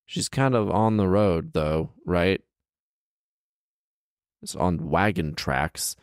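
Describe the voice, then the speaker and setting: A man's voice: sits low, takes it slowly, dry recording, studio quality. A man hosting a story podcast, giving casual comedic commentary in an incredulous, wry, slangy way with emphatic repeats. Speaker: podcast host reading and riffing on a fairy tale.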